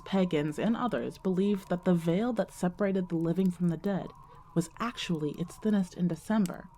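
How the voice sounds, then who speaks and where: A woman with a lowish, soft-edged, warm voice acts as a wry narrator of an audio drama, recalling her past. She speaks deliberately and conversationally, with dry asides, over clearly audible background noise. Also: lightly acted. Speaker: narrator of a fiction podcast.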